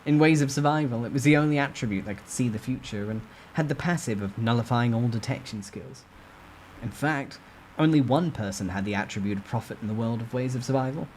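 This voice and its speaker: A man's voice: mid-range voice, brisk, a little mellow, slight background noise, poor audio. A man narrating a novel as a dramatic, theatrical reading. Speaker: storyteller.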